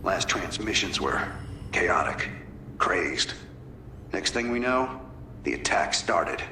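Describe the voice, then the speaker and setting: A gritty, wry rebel commander, echoing. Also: male voice, low-pitched, expressive, slow pace, a little hiss or hum, full character voice. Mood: grim, wry. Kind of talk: video game cutscene voice acting. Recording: game audio, echo, loud music behind.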